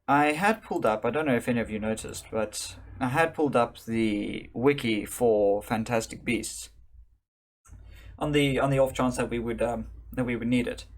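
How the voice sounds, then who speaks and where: Rambling, wry man from South Africa. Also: medium pitch. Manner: rambling, self-correcting, wry asides. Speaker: live streamer. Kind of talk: casual live-stream commentary. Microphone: desk microphone.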